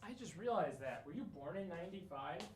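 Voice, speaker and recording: A man's voice: high-pitched, animated, fairly quick, a little hiss or hum. Echoey room, distant mic, man in his late twenties, casual rambling livestream chat, skater slang. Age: late 20s. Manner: rambling, half talking to himself, skater slang. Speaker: livestreamer doing DIY studio build. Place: echoey indoor room under renovation.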